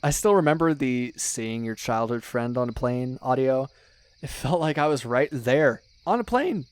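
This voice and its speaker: A man's voice: mid-range voice, expressive, normal speed, clear, resonant tone, lightly acted. A young man playing a teasing, loyal best friend in a one-sided casual roleplay, chatty and slangy with little hesitations. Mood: admiring, nostalgic.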